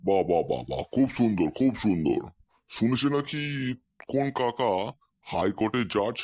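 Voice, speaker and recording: A man's voice: on the low side, lively intonation, normal speed, darkish tone. A man voicing a genial, inquisitive older father-in-law figure in a comic cartoon, easily impressed and repeating his approval.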